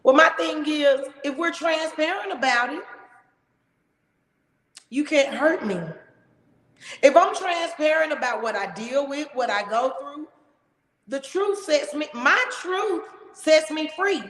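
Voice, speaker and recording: A woman hosting a casual talk show, with a big, loud voice and an emphatic, expressive way of talking. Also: sits high, slow pace, pauses often. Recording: echoey room with long reverb.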